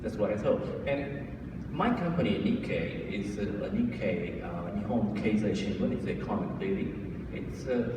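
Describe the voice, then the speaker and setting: A man with a Japanese accent, speaking informally to an audience at a book launch, halting with frequent restarts and little chuckles. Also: on the low side, background noise. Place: large indoor event hall. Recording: echoey hall, from far off.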